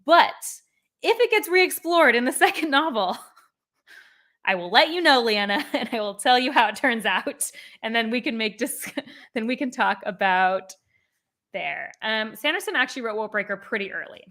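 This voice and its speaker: Woman, book channel host, bright voice, casual rambling chat, many fillers. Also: a little high, expressive, moderate pace. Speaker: booktube host.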